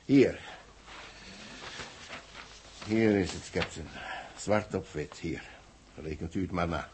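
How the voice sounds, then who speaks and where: An actor playing a grave, betrayed engineer who speaks with dramatic emphasis in a 1960s radio drama. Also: male voice, deep voice, slow pace, a little mellow, a little hiss or hum. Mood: emphatic, revealing. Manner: emphatic, dramatic, repeating words. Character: grave, betrayed male engineer, a society chairman.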